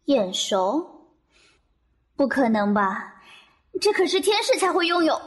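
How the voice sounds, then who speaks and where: A defiant young angel, proud, curt, high and lively in pitch, audio drama. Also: female voice, unhurried. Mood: cold. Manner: cold and curt, flaring sharply. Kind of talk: Chinese audio drama acting.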